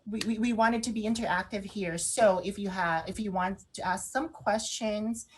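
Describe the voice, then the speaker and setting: A woman with a Filipino accent co-hosts a live online show. She talks in a casual, conversational way, at a middling pitch, as if chatting with her listeners. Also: normal speed, husky. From Philippines. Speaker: online radio show co-host.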